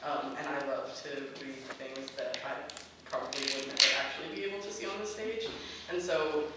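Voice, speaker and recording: A man giving casual feedback in a discussion, hesitant and pausing between phrases. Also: a little high, steady tone. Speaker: audience member giving feedback. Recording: noisy echoey room from far off.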